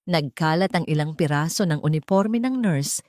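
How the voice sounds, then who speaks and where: Woman narrator doing a dramatic audio story, lowish voice, really dry close sound. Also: lively intonation, normal speed, a little mellow, no room sound.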